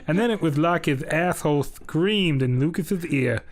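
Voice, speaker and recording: Casting: male actor as jolly, crude Southern bedtime storyteller, higher-than-average pitch, rambling homespun delivery. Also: expressive, moderate pace, very noisy, close to an everyday voice. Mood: folksy, crude. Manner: folksy, ungrammatical, rambling asides. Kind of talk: mock children's bedtime story narration.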